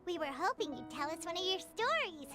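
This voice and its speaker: Super squeaky tiny fairy girl, nosy and chirpy, voice bouncing all over, music playing under. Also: brisk. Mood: eager. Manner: talks about herself in third person, squeaky and excitable. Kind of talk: video game cutscene voice acting. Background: game music.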